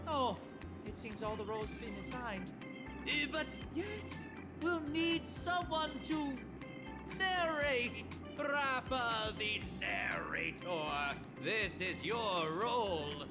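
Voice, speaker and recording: A smooth, flattering director who sweet-talks his cast. His voice sits high and moves a lot, and he talks slowly. Loud game music plays behind him. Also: full character voice. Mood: brisk. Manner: polished, persuasive, flattering. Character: smooth, flattering male film director, showman.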